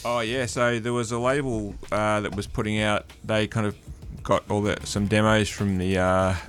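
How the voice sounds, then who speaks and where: A man guesting on a radio show, rambling with many fillers and restarts, trailing off quietly. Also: lowish voice, little pitch movement, normal speed, a little hiss or hum. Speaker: radio guest, record collector. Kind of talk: casual radio chat.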